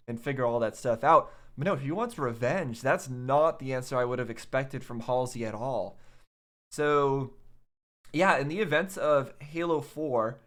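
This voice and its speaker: A man's voice: mid-range voice, a bit bright. A man gives casual reaction commentary in an ordinary mid-range voice. He rambles as he goes, working through his thoughts aloud like a video host talking to viewers.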